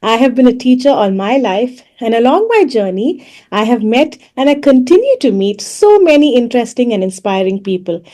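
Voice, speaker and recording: A woman from India, hosting the show and giving a measured, formal introduction of her guest. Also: on the high side, big pitch swings, mellow. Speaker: host, teacher. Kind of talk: scripted introduction.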